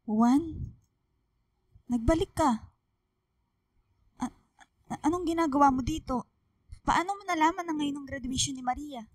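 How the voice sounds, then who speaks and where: A woman's voice: sits high, a little slow, pauses often, no echo. An actress playing an emotional middle-aged mother, forgiving yet admonishing, in a radio drama, with a Filipino accent. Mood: shocked, concerned. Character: middle-aged mother, forgiving of husband, scolding her daughter.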